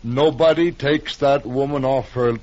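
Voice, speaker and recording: An actor playing a tipsy, sentimental man who rambles slowly between drinks, in a 1950s radio drama. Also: medium pitch, darkish tone, lightly acted. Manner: slow, drunken and rambling. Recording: muffled old radio broadcast.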